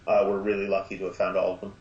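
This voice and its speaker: Podcast guest, male, halting casual delivery, roomy and dull recording. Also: sits low, completely flat delivery, a little mellow. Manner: hesitant, many filler sounds. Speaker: podcast guest from an audio drama. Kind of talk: casual interview talk. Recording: echoey, dull lo-fi line.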